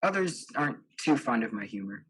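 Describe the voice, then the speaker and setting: A man's voice: mid-range voice, very animated, a little fast, a bit bright, husky. A young man playing a smug, tactless date in a student stage drama, glib and dismissive. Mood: glib, defensive. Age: young adult.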